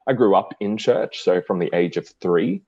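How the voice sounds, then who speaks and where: A young Australian man with an Australian accent, chatting as a podcast guest in a relaxed way with dry humor. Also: sits low, normal speed, husky, no room sound, dull audio. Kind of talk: casual interview.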